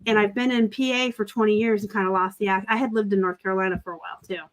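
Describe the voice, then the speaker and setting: Woman, from Arizona, live chat host, casual, talkative, laughs often, medium pitch. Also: fairly even intonation, racing. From Arizona, raised by a Southern family.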